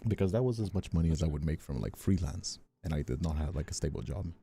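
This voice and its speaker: A man's voice: rumbling low, lively intonation, fast talker, creaky, dark tone, husky, faint music behind. A young man from Brazil chatting casually, telling stories in a rambling, self-deprecating way. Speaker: ASMR voice actor and streamer. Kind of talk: casual chat.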